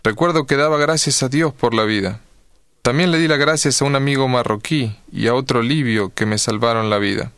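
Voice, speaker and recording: A man's voice: mid-range voice, brisk, fairly bright tone, smooth, dry recording, studio quality. A man, steadily reading an earnest first-person account. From Argentina. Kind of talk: read personal testimony.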